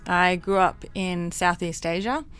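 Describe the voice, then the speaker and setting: A woman's voice: fairly low pitch, unhurried, fairly bright tone, smooth. A woman psychologist with a mixed international accent, talking frankly and laughing often in an interview. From Hong Kong. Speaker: clinical psychologist guest. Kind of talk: interview conversation.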